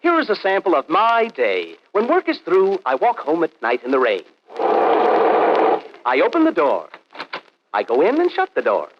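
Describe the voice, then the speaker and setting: A man's voice: fairly high pitch, wildly swooping pitch, normal speed, long pauses. An actor playing a vain, fussy sound-effects man in a 1940s radio comedy, indignant and theatrical. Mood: grandly self-important. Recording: dull, noisy 1940s radio recording.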